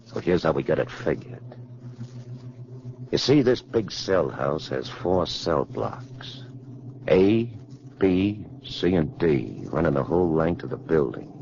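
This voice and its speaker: A cocky gravelly convict scheming in slang, old 40s radio crime show, dull sound. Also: male voice, rumbling low, sing-song, slow pace, a little mellow, heavily acted. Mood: confident, scheming. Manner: slangy tough-guy talk, sneering. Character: tough, cocky male convict plotting a prison break. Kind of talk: 1940s radio crime drama acting. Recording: noisy, muffled 1940s radio recording.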